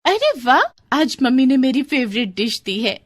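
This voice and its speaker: A woman voicing a boastful, excitable well-off schoolgirl in a storybook tale, bubbly and showy. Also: high-pitched, measured pace, dry recording, voice pushed up, heavily acted. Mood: excited. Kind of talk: storybook character dialogue.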